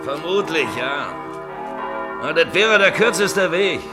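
High-voiced, brusque fellow among bells. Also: male voice, expressive, takes it slowly, over loud music. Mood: irritated, distracted. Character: brusque man giving directions over clanging bells. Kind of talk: German radio play acting. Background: loud church bells.

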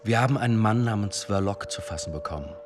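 A man acting in a German radio drama as a dry, self-assured police chief inspector, speaking in a measured, formal, pointed way. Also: on the low side, lively intonation, faint music behind, natural voice. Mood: firm, pointed. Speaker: actor in a radio play.

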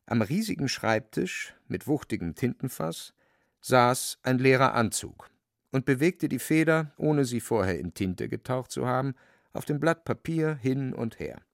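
An actor as the storyteller of a radio play, narrating in a measured, wryly dramatic way with a creaky voice. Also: male voice, medium pitch, lively intonation, no room sound, clean studio sound.

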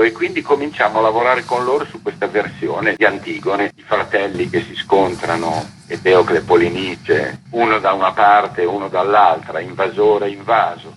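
A man's voice: fairly low pitch, fairly bright tone. A middle-aged or older man explaining his work as a theatre maker and festival organiser in a radio interview. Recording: faint background noise.

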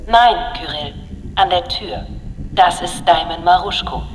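An actor voicing a calm, insistent AI assistant in a radio drama. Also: medium pitch, measured pace, in a large hall, far from the mic, a little hiss or hum, natural voice, low-quality recording. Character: insistent AI assistant voice waking an officer.

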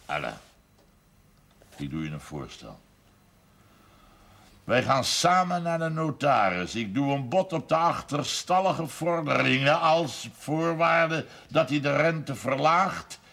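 A man's voice: on the high side, big pitch swings, takes it slowly, vocal fry, full character voice. A middle-aged man playing a blunt, shrewd village businessman in a television period drama. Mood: insistent. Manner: emphatic, plain-spoken, forceful. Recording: faint background noise on TV audio.

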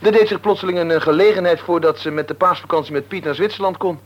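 A man's voice: high-pitched, steady tone, quick pace. A man playing a good-natured, hesitant youngster in a Dutch radio play, chatty and obliging. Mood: friendly. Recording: dull, noisy old radio recording.

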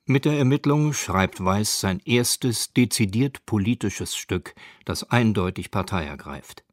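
A man with a fairly low voice narrates a radio documentary. He is factual and precise, recorded very dry and close in a studio. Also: expressive, measured pace, no room sound. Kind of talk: scripted radio feature narration.

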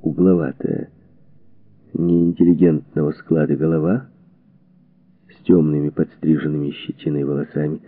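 A man reading a historical book aloud as an audiobook narrator, with measured, expressive storytelling and deliberate phrasing. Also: a bass voice, no echo. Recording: dull phone-like narrow-band sound, dry.

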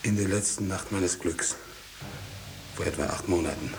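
An actor playing a passionate, guilt-ridden husband and farmer in a theatrical 1950s German radio drama. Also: male voice, deep voice, normal speed. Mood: broken, confessing. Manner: impassioned, theatrical. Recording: echoey, faintly hissy 1950s radio recording.

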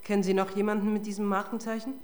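A woman's voice: mid-range voice, flat delivery, racing, darkish tone, lightly acted. An actress playing a sardonic, persistent police detective in a radio crime drama, dry and pointed in her questioning. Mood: dry, sarcastic.

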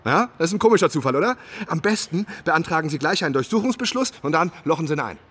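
A man's voice: fairly high pitch, animated, fast talker, a bit bright, breathy edge. A man playing an eager, inquisitive novelist turned investigator in a radio drama, earnest and probing. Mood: excited. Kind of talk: radio drama narration and dialogue.

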